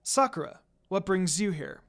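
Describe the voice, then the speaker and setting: A woman who leads a ninja village, played by a story narrator. She is direct and commanding yet curious, and her pitch swings in a lively way. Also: normal speed.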